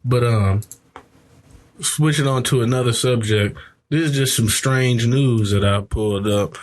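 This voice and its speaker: A man's voice: mid-range voice, a little slow. A young man hosting a podcast, chatting casually and laughing as he tells stories and mimics people. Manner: laughing storyteller doing voices of people he quotes. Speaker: podcast host. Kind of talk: casual chat.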